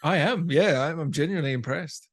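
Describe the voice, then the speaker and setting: A man's voice: on the high side, a little fast, breathy edge. An Australian man hosting a casual interview in an enthusiastic, informal and teasing way. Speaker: podcast host, interviewer. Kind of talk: casual chat.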